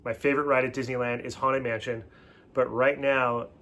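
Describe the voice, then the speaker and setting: A man's voice: mid-range voice, flat delivery, moderate pace, fairly bright tone, dull audio. A man in his forties chatting casually as co-host, given to rambling, self-interrupting explanations. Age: 40s. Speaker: co-host of a couple's channel. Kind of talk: casual chat.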